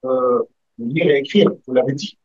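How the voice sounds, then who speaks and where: A man's voice: medium pitch, moderate pace, a little mellow, breathy edge, dry recording. A man in the audience of a university conference, stammering and searching for words as he comments and asks a question. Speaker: audience member asking a question. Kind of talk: academic discussion. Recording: dull muffled sound.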